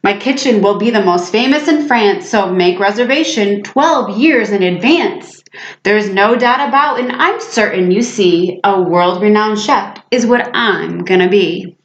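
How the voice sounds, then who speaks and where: A woman voicing an excitable, boastful schoolboy with big dreams in a storybook, eager and grandiose as if making a speech. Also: medium pitch, measured pace, natural voice. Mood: boastful, proud. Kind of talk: storybook read-aloud. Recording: echoey room, slightly muffled sound.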